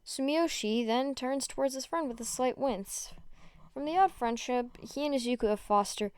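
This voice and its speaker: Woman reading fanfic style narration, trips over words and redoes them, smooth highish voice, dry recording. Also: moderate pace, a little mellow, no echo. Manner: stumbles and rereads words. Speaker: podfic narrator. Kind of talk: amateur story reading, narration.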